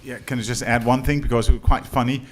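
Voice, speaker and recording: A man's voice: mid-range voice, flat delivery, fast. A Danish man speaks on a panel in English, his second language. He tells his story with amusement and laughs easily as he goes. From Denmark. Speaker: panelist, television writer. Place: on stage before an audience.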